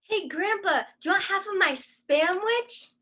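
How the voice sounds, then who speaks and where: A woman playing an eager, wholesome grandchild in a mock old-time radio advert, perky and deliberate. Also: very high-pitched, lively intonation, bright, forceful tone, heavily acted. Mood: eager, cheery.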